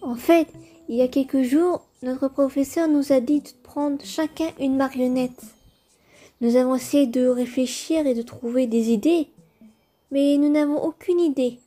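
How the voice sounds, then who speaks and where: Woman as a high, soft-toned earnest schoolchild. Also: unhurried, faint music behind. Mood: explaining. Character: polite, earnest schoolchild twin with puppet. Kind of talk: cartoon comic dub acting.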